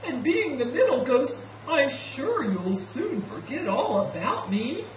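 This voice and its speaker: A woman voicing a silly, dopey ghost in a puppet show, with a goofy, halting delivery. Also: sits high, very animated, voice pushed up, heavily acted. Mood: nervous pleading. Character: silly, dopey, talkative bigger brother ghosts. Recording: echoey, distant, faintly noisy room.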